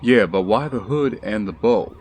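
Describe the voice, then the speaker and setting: A man playing a steady, loyal ex-soldier bodyguard in an audio drama, calm and measured. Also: lowish voice, a little hiss or hum, close to an everyday voice. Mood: curious.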